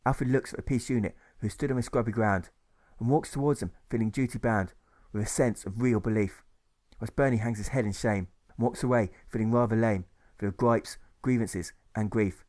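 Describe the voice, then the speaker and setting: A man's voice: fairly low pitch, darkish tone. A man reading rhyming story narration as an author, with a rhythmic delivery that leans on lists and rhymes. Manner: rhythmic, list-heavy, drawn-out rhymes. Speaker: author reading own audiobook.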